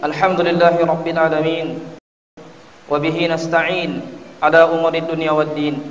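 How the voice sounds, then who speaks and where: A man's voice: fairly high pitch, little pitch movement, normal speed, highs cut off, over music. A man, delivering a sermon as a mosque preacher in formal, exhorting oratory. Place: tiled mosque interior, at the pulpit. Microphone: mounted microphone at the pulpit. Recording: echoey hall, distant amplified voice.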